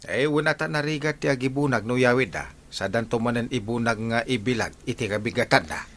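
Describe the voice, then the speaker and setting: A man's voice: medium pitch, a little fast, a bit bright, slight background noise, close to an everyday voice. A man playing a lazy, whining, jokey village captain in an Ilocano radio comedy, with an Ilocano Filipino accent and chuckling exclamations. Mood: grumbling.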